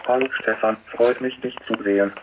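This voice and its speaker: Male text-to-speech robot, monotone, halting, stilted small talk, muffled noisy phone pickup. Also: on the low side. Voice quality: synthetic, halting. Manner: flat, stilted. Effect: computer speech synthesis. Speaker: conversational robot. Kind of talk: synthetic chatbot small talk.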